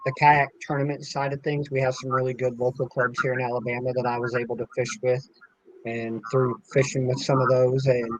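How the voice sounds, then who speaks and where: A man's voice: medium pitch, highs cut off. A man from the United States speaking as a podcast guest on a call, talking casually and earnestly about himself. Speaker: podcast guest, tournament director.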